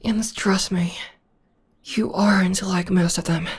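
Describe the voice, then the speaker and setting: Soothing young witch girlfriend, voiced by a woman, breathy slow half-whisper, close mic ASMR. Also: medium pitch, steady tone, full character voice. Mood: tender, reassuring. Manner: soft half-whispered comforting. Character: gentle young witch girlfriend, devoted, reassuring. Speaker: voice actor. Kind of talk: ASMR audio roleplay.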